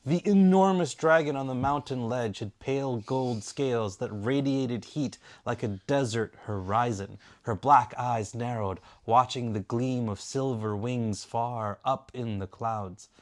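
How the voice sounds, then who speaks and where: A man's voice: mid-range voice, a little slow, hardly any pauses. A man reading a bedtime story aloud in the role of a father.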